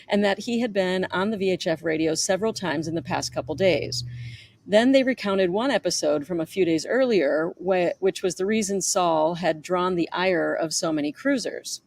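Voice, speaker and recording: Woman, lower-pitched voice, author narration, memoir reading. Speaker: author reading own book. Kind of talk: audiobook-style narration of a memoir.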